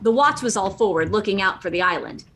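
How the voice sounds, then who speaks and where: A woman's voice: mid-range voice, lively intonation, fast, pushed and bright, a little hiss or hum. A woman playing an earnest boy who narrates a sea adventure in a radio play reading. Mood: earnest, storytelling.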